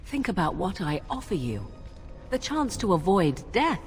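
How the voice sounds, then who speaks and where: An actress playing an aloof, secretive young witch in a fantasy game, speaking in formal, archaic, deliberate phrases. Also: lowish voice, big pitch swings, faint music behind. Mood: insistent, guarded. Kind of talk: dramatic fantasy game dialogue.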